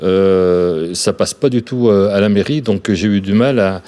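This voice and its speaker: Mellow, low-voiced man. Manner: halting. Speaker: local official. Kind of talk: interview feedback.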